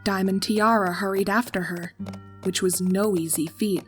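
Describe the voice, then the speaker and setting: A woman reading a horror story aloud in a calm, steady narrating voice. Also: mid-range voice, normal speed, soft background music.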